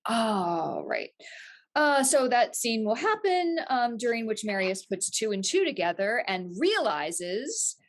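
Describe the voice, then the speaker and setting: A woman speaks as a show's co-host and creator in casual banter about a script. Her voice is high, with very lively intonation. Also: takes it slowly.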